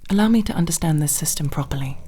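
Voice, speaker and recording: Woman playing a breathy, low-pitched earnest elf rogue. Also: expressive, very fast. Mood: calm, curious. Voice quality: soft, breathy, often dropping to whisper. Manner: formal, hesitant, earnest, precise wording. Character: nervous earnest young female elf rogue, formal and naive. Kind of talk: ASMR fantasy roleplay.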